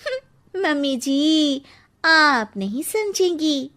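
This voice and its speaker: A woman voicing a young daughter-in-law in a cartoon story, protective and quick to protest in a pleading, explanatory way. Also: high voice, lively intonation, a bit bright, heavily acted. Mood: whiny. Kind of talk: Hindi cartoon story voice acting.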